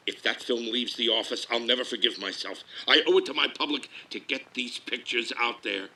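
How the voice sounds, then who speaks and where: A brash, hammy 1950s movie huckster. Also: male voice, mid-range voice, fairly quick, sharp tone, poor audio. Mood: pleading, boastful. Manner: hammy, boastful carnival-barker delivery. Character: brash huckster male exploitation-film producer, shameless and grandiose. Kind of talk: 1950s showman's pitch and memoir-style storytelling.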